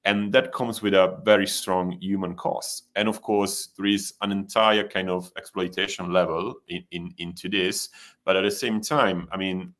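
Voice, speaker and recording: A man's voice: low-pitched, steady tone, moderate pace, fairly bright tone. A man whose first language is Italian, speaking as a panelist in a discussion, thoughtful and hesitant with frequent restarts.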